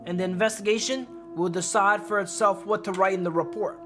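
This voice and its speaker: A gentle old village shopkeeper as a man reads him from a visual novel. He is hospitable and generous in manner. Music plays clearly behind him. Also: sits high, fairly even intonation, fairly quick, lightly acted. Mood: musing. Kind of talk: visual novel dialogue read aloud. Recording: music under the voice.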